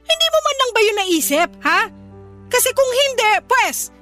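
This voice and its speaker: Casting: condescending Filipino mother, middle-aged, scornful nag, sharp and very high-pitched, for radio drama. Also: very animated, moderate pace, faint music behind, heavily acted. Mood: indignant, nagging. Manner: nagging, sarcastic, condescending. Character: haughty, scornful middle-aged mother belittling daughter's suitor.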